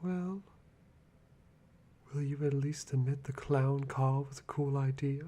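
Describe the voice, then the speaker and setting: A man playing a vain, whiny and childishly enthusiastic villain sidekick in an ASMR roleplay, with a breathy, theatrical delivery. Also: mid-range voice, steady tone, a little slow, mellow, close to an everyday voice. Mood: petulant, whiny. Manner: flamboyant, dramatic, petulant.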